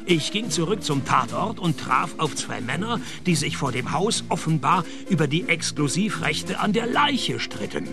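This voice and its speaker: Gravelly, sardonic Swiss private detective. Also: male voice, on the high side, moderate pace, few pauses, over music. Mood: wry narrating. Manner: dry, sardonic, deadpan. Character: cynical male private detective narrating his own case. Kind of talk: radio play detective narration and dialogue.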